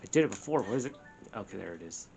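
A woman's voice: rumbling low, expressive, rapid-fire, creaky, dark tone. A woman chatting casually while playing a video game, muttering in exasperation at it. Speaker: gamer, show co-host. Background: video game music.

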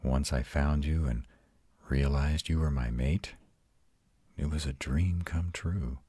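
Ancient male vampire, played by a man, intimate audio roleplay; bottomless bass, dry close sound, tender and reassuring. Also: dry recording, heavily acted. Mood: tender, apologetic. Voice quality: very deep, husky. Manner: soft, intimate, slow reassurance. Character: ancient arrogant male vampire tenderly comforting his mate. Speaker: voice actor.

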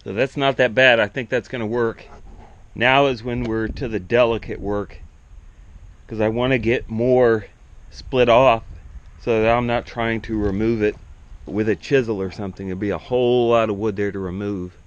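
A man's voice: lowish voice, moderate pace, slight background noise. A middle-aged man casually narrating his work outdoors on a farm, thinking aloud as he goes, recorded on a body-worn camera. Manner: relaxed, plainspoken, thinking aloud while working. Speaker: small farm vlogger. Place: outdoors on a farm yard by a woodshed. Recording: dull lo-fi outdoor camera audio.

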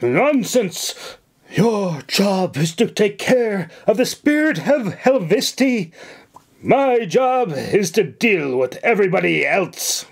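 A man's voice: high voice, very animated, slow pace, creaky, pitched above the performer's own voice, exaggerated voice. A man voicing a pompous, blustering governor who fusses indignantly and dotes on his guest.

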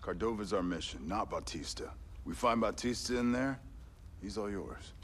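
An actor playing a sarcastic, grumbling, squeamish masked mercenary in a video game. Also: male voice, on the low side, expressive, moderate pace, lightly acted. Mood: firm. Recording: noisy game sound effects behind.

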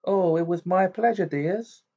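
Man voicing a coy, high-pitched fussing mother. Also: unhurried, vocal fry, warm tone, dull audio, full character voice. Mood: warm, coy. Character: kindly middle-aged mother, warm but coy.

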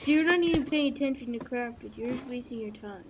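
A gamer chatting casually in character during a Minecraft roleplay. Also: a little fast. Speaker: player in a gaming video. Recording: muffled low-grade mic with loud noise.

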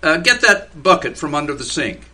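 A man playing a weary, stubborn small-town mailman in a radio play, speaking formally and deliberately. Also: a little high, sing-song, background noise. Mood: resolved, brisk. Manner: formal, deliberate, repeats phrases. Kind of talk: radio theater acting.

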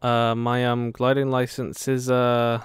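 A man's voice: mid-range voice, completely flat delivery, unhurried, clean tone, no echo. A man giving casual gameplay commentary, with playful, sarcastic asides. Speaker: gaming video host. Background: game music.

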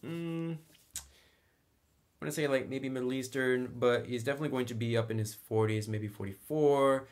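A male tutorial host with a medium-pitched voice talks through tabletop game rules. He explains each step in a casual, conversational manner, sometimes reading from the book. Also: moderate pace.

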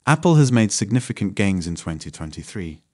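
Low-voiced man reading a finance script. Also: sing-song, a little fast, no echo, clean studio sound. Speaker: narrator of a finance channel. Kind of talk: scripted narration.

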